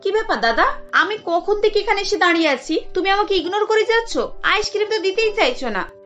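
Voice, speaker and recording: Woman voicing a high-pitched, food-obsessed young cartoon bride. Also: lively intonation, fairly quick, a bit bright, lo-fi sound, soft background music. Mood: demanding, impatient. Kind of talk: Bengali cartoon acting.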